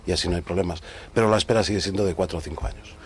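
Very deep fast-talking Spanish man. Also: fairly even intonation, slightly rough. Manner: brisk, matter-of-fact explanations. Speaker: manager of the city gardens. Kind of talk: radio interview guest.

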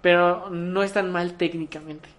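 A man's voice: sits high, steady tone, unhurried, a crowd in the background. A man with a Mexican accent co-hosting a podcast in casual chat, teasing his friend and swearing casually. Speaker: podcast co-host.

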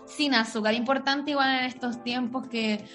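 A woman's voice: a little high, fairly even intonation, moderate pace, a bit bright, soft background music. A young adult woman with a Chilean accent hosting a casual podcast chat among friends, informal and slangy, swearing freely. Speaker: podcast host.

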